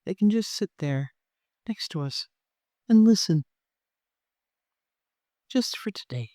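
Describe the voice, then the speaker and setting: A meditation guide of unclear sex, speaking gently and softly in an unhurried way, with long pauses, leading a guided meditation. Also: mid-range voice, lively intonation, no room sound, studio quality.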